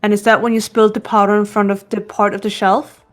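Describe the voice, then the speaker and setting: A woman voicing a calm young female investigator who questions others in measured tones. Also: medium pitch, soft background music, natural voice. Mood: probing. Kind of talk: fan voice acting of a game.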